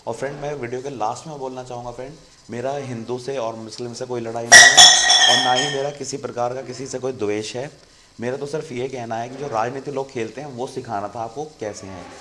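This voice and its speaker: A man's voice: medium pitch, steady tone, fairly quick, pushed and bright, slight background noise. A man hosting an online channel, explaining in a casual chatty monologue and often calling viewers "friend". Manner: conversational, keeps saying "friend" to viewers. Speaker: YouTube channel host.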